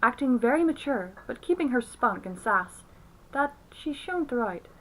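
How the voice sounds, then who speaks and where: A young woman narrates a film review from a script. Her voice sits a little higher than most women's, and she speaks as the reviewer presenting commentary. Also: sing-song, normal speed, low-quality recording.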